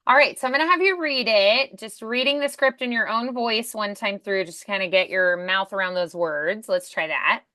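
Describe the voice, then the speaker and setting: A woman's voice: mid-range voice, moderate pace, few pauses, sharp tone, no echo, clean studio sound. A woman working as a voice acting coach, encouraging and affirming as she talks a student through questions one-on-one.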